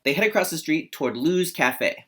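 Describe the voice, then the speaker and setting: A man's voice: a little high, animated, normal speed, fairly bright tone, slightly rough. A man hosting a comedy table read and narrating the screenplay's scene directions. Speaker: host and narrator.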